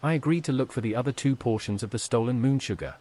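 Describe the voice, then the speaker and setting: A man's voice: medium pitch, quick pace, a little mellow, close to an everyday voice. A man voicing a helpful, direct adventurer in a video game, speaking in a matter-of-fact way. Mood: matter-of-fact, curious. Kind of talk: video game character dialogue, AI-voiced.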